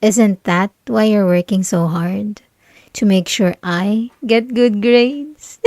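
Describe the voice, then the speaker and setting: A young woman playing a mocking, possessive bully in an intimate ASMR roleplay, condescending and teasing, with breathy asides. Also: mid-range voice, dark tone, no echo, clean studio sound. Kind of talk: ASMR roleplay, intimate scripted monologue to listener.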